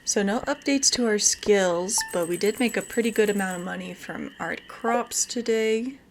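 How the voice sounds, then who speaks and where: A woman's voice: mid-range voice, moderate pace, slight background noise. A woman hosting a gaming video with casual, chatty commentary, thinking aloud as she plays. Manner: chatty, thinking aloud, reads game dialogue aloud. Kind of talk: casual let's-play commentary. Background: background music.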